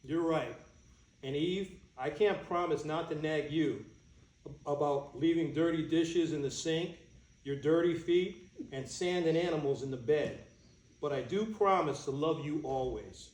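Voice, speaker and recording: Plainspoken groom, high-pitched man acting in echoey room. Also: unhurried, darkish tone, lightly acted. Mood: tender. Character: Adam, easygoing older groom, gently stubborn about cell phones. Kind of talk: staged reading of a play. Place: indoor room, staged reading.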